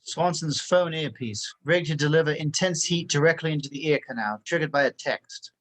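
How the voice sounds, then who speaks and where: A man playing a stern, dry-witted spy instructor in an amateur table read, crisp and matter-of-fact. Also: on the high side, normal speed, fairly bright tone, lightly acted.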